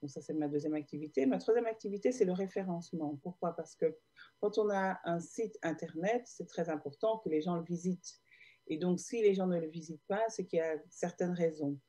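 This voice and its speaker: Belgian woman, interview guest, low mellow voice, halting with fillers, video call. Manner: hesitant, many fillers and restarts. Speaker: guest, digital marketer. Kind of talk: casual interview answers.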